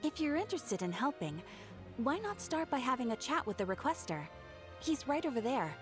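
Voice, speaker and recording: A woman's voice: high voice, big pitch swings, brisk. A woman playing a polite, composed young guild receptionist in a video game, with a courteous, articulate, professional delivery. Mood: helpful. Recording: game music behind the voice.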